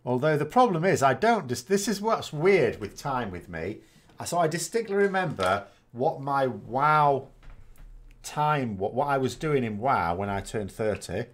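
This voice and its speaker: A man's voice: mid-range voice, big pitch swings, unhurried. A man, chatting casually and rambling as a gaming host answering viewer questions, often correcting himself with dry self-mockery. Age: 40s.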